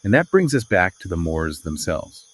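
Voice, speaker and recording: A man's voice: low voice, sing-song, normal speed, breathy edge. A man co-hosting a podcast, discussing literature conversationally with an emphatic, dramatic delivery. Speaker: podcast co-host.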